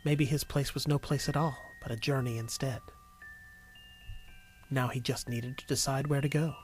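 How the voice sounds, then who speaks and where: A man reading scripted story narration as a storyteller, in an expressive way. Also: mid-range voice, moderate pace, slight background noise. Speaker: narrator and voice actor.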